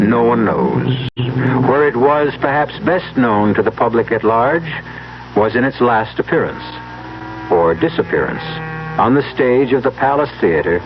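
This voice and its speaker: An actor narrating a radio mystery tale in a solemn, measured, portentous way. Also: male voice, medium pitch. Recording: muffled vintage radio recording. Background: music.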